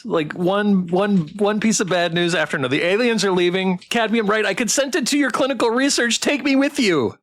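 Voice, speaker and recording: A man hosting a casual game livestream, reading text aloud and chatting with playful, expressive reactions. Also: sits high. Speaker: game streamer and host.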